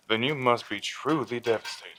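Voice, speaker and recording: A man's voice: medium pitch, a bit bright, a little hiss or hum. A man playing a sinister, gloating killer who phones a radio show, taunting and theatrical, in a radio drama. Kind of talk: radio drama villain acting.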